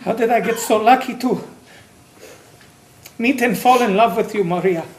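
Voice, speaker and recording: A man's voice: high voice, moderate pace, close to an everyday voice. An actor in a stage play playing a devout, wistful imprisoned man in love, speaking in a dreamy, dramatic storytelling manner. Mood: earnest, loving. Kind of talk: theatrical stage-play monologue and dialogue. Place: stage, recorded with room echo. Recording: echoey stage with background noise and clicks.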